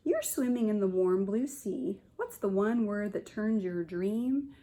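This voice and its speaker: A woman kindergarten teacher reads a picture book aloud to young children, pausing to explain and point things out in simple words. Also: mid-range voice, big pitch swings, warm tone.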